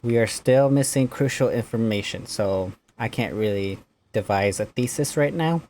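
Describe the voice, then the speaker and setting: A woman's voice: very deep voice, moderate pace, mellow, a little hiss or hum. A woman game streamer casually reacting to a story in brief, playful remarks. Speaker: gaming streamer. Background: faint game music.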